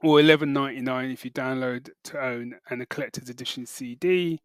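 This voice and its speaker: A man's voice: medium pitch, fairly even intonation, a little fast, clean tone, no echo, studio quality. A British man co-hosting a podcast, explaining things in casual, informal chat with some hesitant phrasing. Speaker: podcast co-host reporting news.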